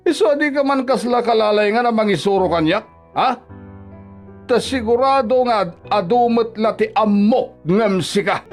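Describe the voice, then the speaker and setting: A man's voice: sits high, normal speed, soft background music, natural voice. A man playing a grumpy, scornful old father in a radio drama, scolding and sarcastic, with an Ilocano accent. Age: older. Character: grumpy, money-minded old father scorning his daughter-in-law.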